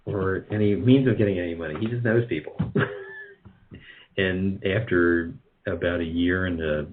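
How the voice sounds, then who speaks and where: A man's voice: low voice, fairly even intonation, normal speed, narrow, tinny audio, a little hiss or hum. A man chatting casually as an interview guest, modest and self-deprecating, rambling through false starts. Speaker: guest, radio drama producer.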